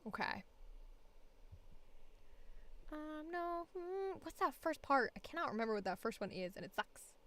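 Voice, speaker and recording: A woman's voice: high voice, big pitch swings, unhurried, pauses often, fairly bright tone. A woman voice actor chatting casually between auditions, thinking aloud in a rambling way, recorded close on a studio mic. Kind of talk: casual livestream chat while recording auditions.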